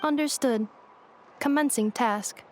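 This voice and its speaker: A woman voicing a polite, literal-minded young robot maid in a video game, with a deadpan, formal manner. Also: sits high, slow, noisy background. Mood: flat, dutiful. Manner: deadpan, formal, clipped announcements. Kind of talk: game voice acting. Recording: music clearly behind.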